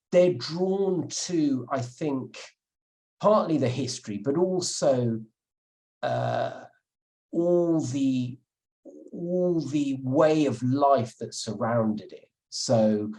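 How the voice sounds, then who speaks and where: A man's voice: medium pitch, dark tone, poor audio. A man, an author being interviewed, answering thoughtfully with many restarts and fillers. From England.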